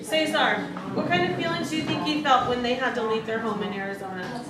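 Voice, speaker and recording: A woman's voice: on the high side, fairly even intonation, fairly quick, over a noisy crowd. A woman training as a teacher, leading a read-aloud discussion with encouraging questions. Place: indoor room with background noise. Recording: roomy, distant mic, noisy classroom.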